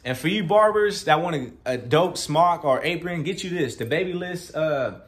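A man's voice: fairly high pitch, lively intonation, normal speed, slightly rough. A young man who works as a barber, giving a casual, slangy product tour and often saying "you know.". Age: young adult. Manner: laid-back, slangy, repeats "you know". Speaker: barber and vlogger. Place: barbershop, indoors.

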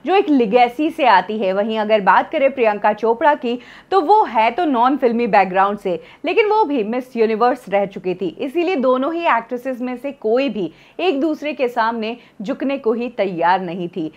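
A woman in her thirties presenting scripted celebrity gossip news in a studio, telling the story in a lively way and dropping in English phrases. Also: a little high, normal speed, few pauses, dull audio.

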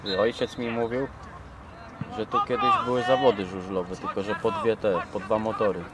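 A man's voice: fairly low pitch, normal speed. A young man chatting casually and swearing while filming a football match from outdoor stadium stands on a camera mic. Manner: slangy, swears, lively banter. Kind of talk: casual chat. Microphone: handheld camera mic. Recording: loud outdoor noise, crowd.

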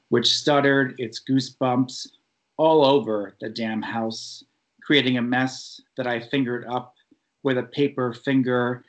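Male poet, mid-range voice, very unhurried and deliberate verse reading, dull online call audio. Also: medium pitch. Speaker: poet reading own work. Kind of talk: poetry reading. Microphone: video call.

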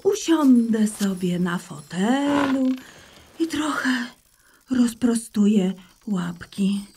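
A loving mother rabbit from a children's audio play, tender and soothing, with a lively rise and fall in her voice, played by a woman. Her slow speech is soft and breathy. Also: a little high, full character voice. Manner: tender and soothing, calls her son endearments.

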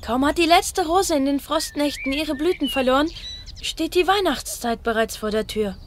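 A woman's voice: high-pitched, moderate pace, slight background noise, lightly acted. An actress playing a bold, curious young boy in a fairy tale audio drama, eager in manner. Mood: friendly. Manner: eager, boyish.

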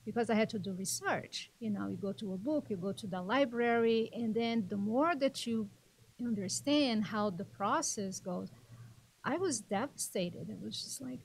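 A woman's voice: fairly high pitch, normal speed, vocal fry, slightly rough, rough recording. A woman from Brazil with a Brazilian Portuguese accent, a documentary co-creator talking earnestly and emotionally as a guest in a studio interview, on a desk microphone. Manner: earnest, emotional, talks in loose restarts. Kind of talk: casual interview chat. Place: small TV studio set. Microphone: desk microphone on a boom.

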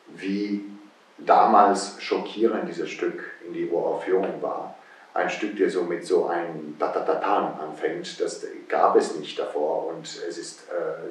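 A middle-aged conductor with an Italian accent talks in a deep, low voice, pausing often and searching for words. He sits in a wood-panelled room, picked up by a camera some way off, so the sound is full of room echo. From Italy. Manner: thoughtful, hesitant, many fillers. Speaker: guest conductor. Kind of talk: interview answers. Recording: roomy, distant echo.

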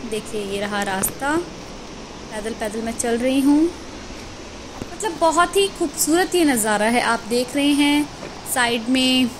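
A woman vlogging as she walks a mountain trail, cheerfully pointing out the sights to her viewers. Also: high voice. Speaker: travel vlogger. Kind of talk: walking travel vlog commentary. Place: outdoors on a mountain trail near a waterfall. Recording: outdoor phone audio with water noise. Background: rushing water.

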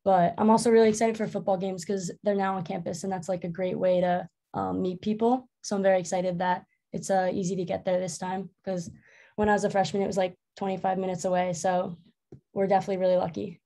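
A young woman from New Jersey talks quickly and upbeat on a video call, dropping "like" often. Her voice is warm and mellow. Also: mid-range voice, flat delivery, vocal fry. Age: 20s. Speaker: orientation leader. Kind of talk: casual panel talk.

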